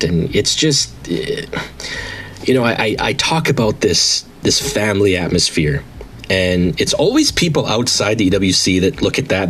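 A man's voice: low voice, husky. A man in his late thirties, talking casually and enthusiastically as the founder of an online wrestling league, rambling with frequent restarts. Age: 30s. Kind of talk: casual monologue to an audience.

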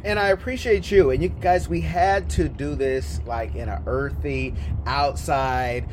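A man's voice: medium pitch, a little slow. A man hosting a casual interview outdoors, upbeat and enthusiastic in his questions. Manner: energetic, enthusiastic host. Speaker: podcast host. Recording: noisy outdoor recording.

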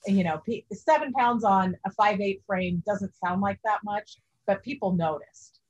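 Woman in her 40s, husky voice, earnest and measured, dull lo-fi sound. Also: medium pitch. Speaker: guest. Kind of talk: casual interview chat.

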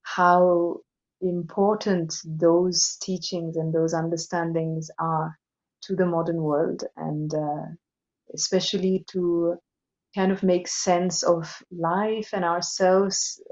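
A woman with an Indian accent talking reflectively as an interview guest, explaining things in a measured way. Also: lowish voice, little pitch movement, a little mellow, dull audio. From Assam, northeast India. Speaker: interview guest, yoga teacher. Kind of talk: thoughtful podcast conversation.

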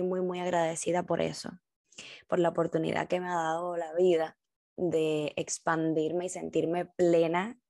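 A young adult woman from Cuba with a Cuban accent, hosting a solo podcast in a reflective, heartfelt way with thoughtful pauses. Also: lowish voice, creaky, a little mellow, no echo, clean studio sound. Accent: Cuban Spanish. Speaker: podcast host.

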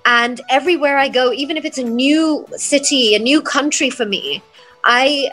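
A woman's voice: sits high, normal speed, fairly bright tone, faint music behind, rough recording. A woman from England and the United States, talking earnestly and reflectively as a guest in a conversational interview. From born in England, raised there and in the United States. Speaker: podcast guest, mindset coach and yoga teacher.

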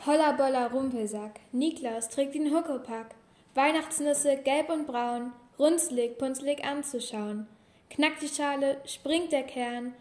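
Woman rattling off a kids rhyme, high voice, echoey room. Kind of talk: reciting a children's rhyme. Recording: roomy and distant.

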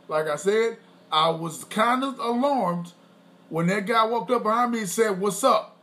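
A man co-hosting a podcast with his wife, talking in a loose, boastful, rambling way and clearing his throat often. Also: high voice, unhurried, bright, forceful tone. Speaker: podcast co-host. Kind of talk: casual chat.

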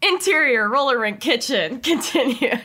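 Woman reading comedy script stage directions, bright swoopy voice, playful little asides. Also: high-pitched, expressive, normal speed, breathy edge. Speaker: podcast host and script narrator. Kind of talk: lively comedic script narration.

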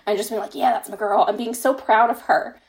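A woman's voice: on the high side, steady tone, fairly quick, gravelly, poor audio. A woman being interviewed as an author in a casual podcast chat, talking with gushing, emphatic enthusiasm. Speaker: interviewed fan fiction author.

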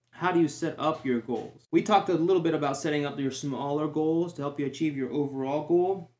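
A man hosting a fitness podcast, giving encouraging advice in a chatty way with joking tangents. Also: a little high. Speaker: certified fitness trainer. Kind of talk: casual solo podcast talk. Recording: roomy echo.

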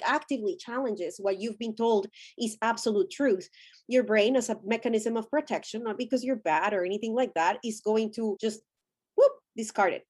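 A woman's voice: fairly high pitch, darkish tone, husky. Earnest Colombian woman explaining ideas. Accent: Colombian Spanish. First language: Spanish. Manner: earnest, explanatory, with quoted inner voices. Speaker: podcast guest, ordained minister. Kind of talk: conversational interview.

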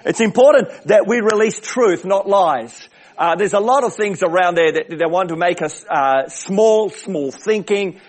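A man preaches a sermon in a big, booming voice that sits high in pitch. He hammers his points home by repeating short phrases. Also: lively intonation, unhurried. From Australia. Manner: big booming voice, emphatic repetitions. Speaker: visiting preacher. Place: church service.

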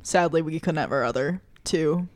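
A woman's voice: deep voice, moderate pace. A young woman co-hosting a casual podcast who laughs easily and agrees readily. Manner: giggly, agreeable. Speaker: podcast co-host. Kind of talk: casual chat.

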